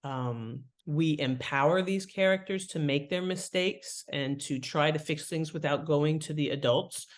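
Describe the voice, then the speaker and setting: A woman guest explaining her craft in conversational interview talk, with an animated manner. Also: sits low, unhurried, few pauses. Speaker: guest, fiction writing author.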